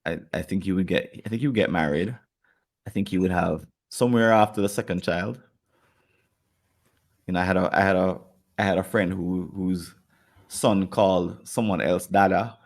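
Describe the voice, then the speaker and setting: A man with a Jamaican accent and a very low, gravelly voice, chatting on a podcast. He rambles and doubles back on his words as he works out a point. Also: normal speed, pauses often. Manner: rambling, repeats words while thinking, acts out quoted people. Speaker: podcast co-host. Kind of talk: casual podcast conversation.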